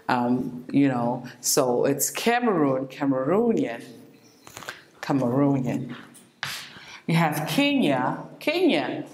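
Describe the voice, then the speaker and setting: A woman teaching an English lesson, explaining step by step and repeating example words slowly for learners. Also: very deep voice, a little hiss or hum. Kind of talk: recorded classroom lesson. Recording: echoey room.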